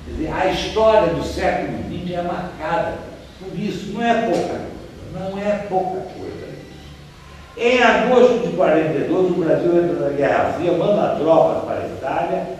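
An older Brazilian man giving a seated, conversational history lecture, pausing at times to search for names. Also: high-pitched, a little mellow, far from the mic, slight background noise. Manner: conversational, gestures as he talks, trails off searching for names. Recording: echoey room, voice from off-mic.

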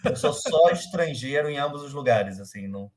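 Man with a softened carioca accent. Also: on the high side, animated, moderate pace. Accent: carioca Brazilian Portuguese, softened by years in São Paulo. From Rio de Janeiro, Brazil. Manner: hesitant, many fillers and restarts. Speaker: guest, company manager. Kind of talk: casual interview conversation. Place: room at home, indoors. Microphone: webcam or laptop mic on a video call.

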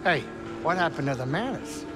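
An actor playing a small, older alien saloon owner in a video game, fussy, folksy and affectionate. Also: male voice, on the high side, lively intonation, moderate pace, music in the background, lightly acted. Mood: gentle, wistful. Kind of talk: video game character acting.

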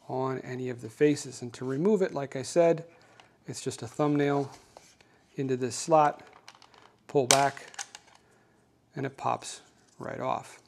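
A man with a mid-pitched voice talks through a practical project as the host of his own show. His delivery is casual and conversational, like an unscripted walkthrough for viewers. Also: vocal fry, a little mellow. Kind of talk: casual hands-on explainer of a homemade design.

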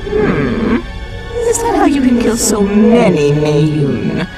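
An actress playing a cruel, scheming woman turned monster in a video game cutscene, taunting her victim in a slow, sneering manner. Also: medium pitch, huge pitch swings. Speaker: villain, Madame Chen. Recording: game audio with loud music.

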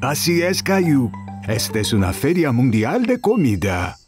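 Older grandfather, cartoon dub, gentle and patient, slow delivery, lively intonation. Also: medium pitch, faint music behind. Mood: friendly. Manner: gentle, patient, explaining to a child. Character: kind, encouraging grandfather, older man. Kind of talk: children's cartoon dubbing.

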